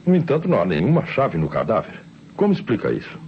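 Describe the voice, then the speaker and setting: An actor playing a brisk, suspicious police commissioner with a Brazilian accent in an old radio drama. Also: male voice, fairly low pitch, wildly swooping pitch. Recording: dull, noisy old radio recording.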